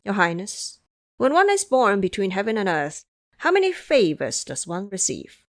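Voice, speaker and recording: A woman voicing a humble, pious male monk in an audio drama, courteous and measured, with a preaching manner. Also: medium pitch, huge pitch swings. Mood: gently lecturing.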